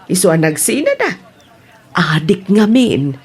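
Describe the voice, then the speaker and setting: A woman's voice: fairly low pitch, huge pitch swings, measured pace, a little mellow, slightly rough, heavily acted. A woman playing a teasing, gossipy aunt in an Ilocano audio drama. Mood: teasing, amused. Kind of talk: Ilocano radio-style drama acting.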